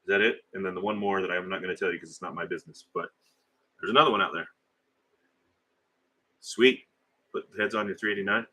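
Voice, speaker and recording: Low-voiced man chatting on a livestream. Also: fast, pauses often, bright, forceful tone. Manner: rambling, conversational, hesitant with fillers. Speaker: livestream host. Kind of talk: casual live chat reading viewer comments.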